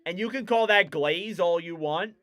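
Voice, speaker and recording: Young man, hockey play-by-play, bright crisp voice, going a little hoarse, excitable. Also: high voice, moderate pace. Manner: excitable, rising to shouts on goals. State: losing his voice. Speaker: live-stream hockey commentator. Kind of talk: play-by-play commentary.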